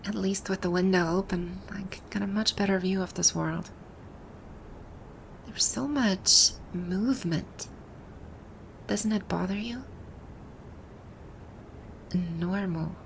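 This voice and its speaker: A woman's voice: mid-range voice, takes it slowly, vocal fry, long pauses, slight background noise, rough recording. A woman playing a haughty, easily flustered female final-boss villain from a video game in an ASMR roleplay, with an imperious, indignant manner. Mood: grudging, curious. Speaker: voice actor.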